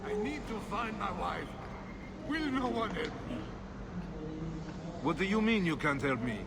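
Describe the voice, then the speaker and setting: A man's voice: high voice, sing-song, measured pace, vocal fry, a crowd in the background, exaggerated voice. An actor playing a self-pitying, petulant nobleman husband with an indignant, self-serving manner, in video game dialogue.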